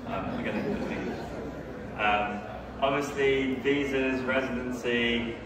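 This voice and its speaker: A man's voice: medium pitch, fairly even intonation, a little slow, heavy background noise. A man in his thirties from France presents a seminar, chatty and joking with the audience. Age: 30s. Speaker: seminar speaker, company founder. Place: large exhibition hall. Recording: echoey hall, speaker far from mic.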